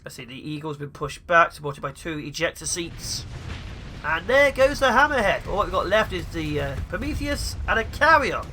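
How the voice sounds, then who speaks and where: A young man with the flu, sounding sniffly, giving excitable let's play commentary. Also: a little high, normal speed, slight background noise. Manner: excitable, mutters at enemy ships. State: sniffly with flu, sore throat. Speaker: gaming YouTuber.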